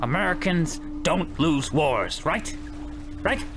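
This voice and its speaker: An actor playing a stern, weary navy captain in wartime, grave and brooding, snapping out orders. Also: male voice, a little high, animated, slow pace. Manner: grave, brooding, barks commands. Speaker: ship captain. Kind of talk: amateur radio drama. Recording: music underneath.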